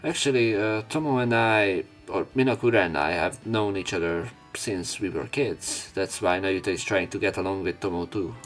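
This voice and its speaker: Low voiced guy doing a visual novel let's play, reading the story out, music playing behind him. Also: moderate pace, hardly any pauses. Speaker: let's player.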